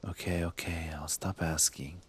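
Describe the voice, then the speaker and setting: A man playing a smug cop secretly smitten with a thief in an intimate ASMR roleplay, teasing and halting, sometimes dropping to a breathy murmur. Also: low-pitched, level tone. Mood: probing, softening. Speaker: voice actor in audio roleplay. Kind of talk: ASMR roleplay acting, addressed to the listener.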